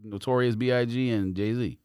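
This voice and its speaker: A man's voice: mid-range voice, steady tone, normal speed, a little mellow, a little hiss or hum. A man co-hosting a podcast, chatting informally with slang and reading bits of articles aloud. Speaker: podcast co-host. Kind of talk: casual chat with bits read aloud from articles.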